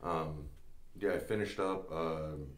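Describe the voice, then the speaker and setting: A man in his thirties, a former soldier, telling stories in a relaxed interview, with many fillers and laughing as he goes. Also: very deep voice, slow, slight background noise. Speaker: podcast guest, former Green Beret. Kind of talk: casual interview storytelling. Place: small studio set at a table. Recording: echoey studio room.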